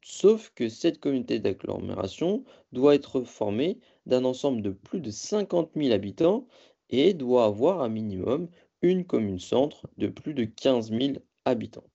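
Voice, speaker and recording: A man presenting a training lecture from slides, explaining in a halting, semi-improvised way and often correcting himself. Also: medium pitch, very animated, vocal fry, warm tone, lo-fi sound. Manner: halting, self-correcting delivery. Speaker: presenter of a training course on local government.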